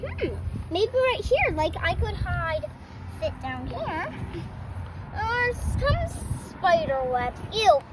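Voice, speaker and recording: A child's very high, swooping voice narrates improvised doll play as host of the video. The recording is very noisy, with clicks and rustling from an outdoor yard. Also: wildly swooping pitch, slow pace. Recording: loud noise with clicks and rustling.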